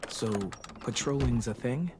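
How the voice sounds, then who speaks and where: A man's voice: medium pitch, unhurried, noisy background, lightly acted. Man playing a charming, wry teenage boy survivor. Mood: hurt, uncertain. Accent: American. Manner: hesitant, wry, conversational. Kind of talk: naturalistic video game voice acting. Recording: music behind the voice.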